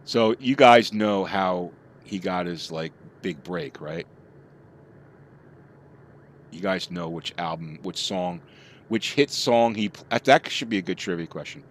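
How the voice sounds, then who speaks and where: A man's voice: low-pitched, unhurried. A middle-aged man chatting casually with his live chat while he plays, rambling from topic to topic. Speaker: streamer.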